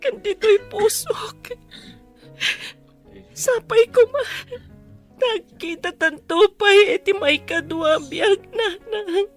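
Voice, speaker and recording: A woman playing a grief-stricken, remorseful daughter in a radio melodrama, with a northern Philippine accent and a pleading, tearful voice. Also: faint music behind. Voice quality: high, tearful. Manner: pleading, drawn-out emotional delivery. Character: grief-stricken, remorseful adult daughter mourning her mother.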